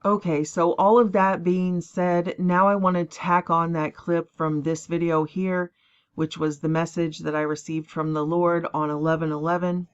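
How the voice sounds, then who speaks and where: A tired woman rambling emphatically as she introduces a clip, breaking into quick mocking asides. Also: on the low side, flat delivery, smooth. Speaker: online prophecy channel host. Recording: dull lo-fi recording.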